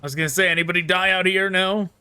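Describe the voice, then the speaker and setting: A man with a bright, slightly high voice commentates on a game. He rambles casually and thinks aloud. The sound is close and dry, with no room around it. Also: steady tone, rapid-fire, clear, resonant tone, dry recording. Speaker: gaming YouTuber.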